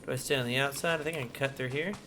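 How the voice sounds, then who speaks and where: A male gaming streamer with a medium-pitched voice chats casually through his play. He thinks aloud with playful little phrases. Game ambience and typing are clearly audible behind him. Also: rapid-fire. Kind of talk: casual gameplay commentary. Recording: clear background game noise.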